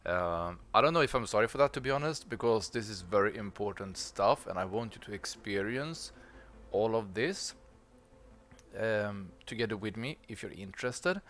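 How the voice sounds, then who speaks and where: A man with a low voice talks casually to his viewers about the game. He rambles a little and hesitates between thoughts. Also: expressive, moderate pace, a bit bright. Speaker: gameplay video host. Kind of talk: casual gameplay commentary.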